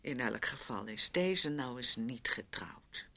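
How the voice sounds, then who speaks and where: A man's voice: medium pitch, animated, takes it slowly, creaky, pushed and bright, heavily acted. A male actor in a radio play playing a polite parent, hesitant, soothing and mildly evasive. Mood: evasive, reassuring. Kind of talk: Dutch radio play acting.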